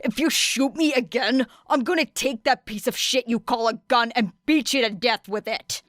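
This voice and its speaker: Hot-headed teen boy bully, acted by a female reader, high-pitched, snarling, threatening, audiobook drama. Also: moderate pace, fairly bright tone, no room sound, studio quality, lightly acted. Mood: furious threat. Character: furious, foul-mouthed, hot-headed teenage boy bully.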